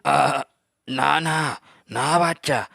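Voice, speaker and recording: A man's voice: on the high side, very slow, vocal fry, sharp tone, dry recording, studio quality, exaggerated voice. A man voicing an anxious, protective parent goat in a cartoon, soothing and hesitant. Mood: worried reassurance. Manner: hesitant, soothing, repeating words. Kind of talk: cartoon voice acting.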